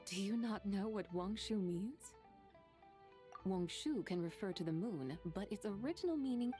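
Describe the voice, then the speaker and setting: A woman plays an ancient immortal lady with a mid-pitched voice. She speaks in lofty, old-fashioned phrases with a whimsical, teasing temper, anime game style, over faint music. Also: expressive, normal speed, warm tone, natural voice. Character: ancient playful female adeptus, elegant and whimsical. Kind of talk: anime video game voice acting.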